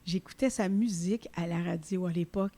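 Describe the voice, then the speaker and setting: A woman hosting an interview podcast, speaking with a Québécois French accent, effusive and quick to laugh. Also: fairly low pitch, dark tone. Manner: effusive, laughs often, many restarts. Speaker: podcast host. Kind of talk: warm conversational interview.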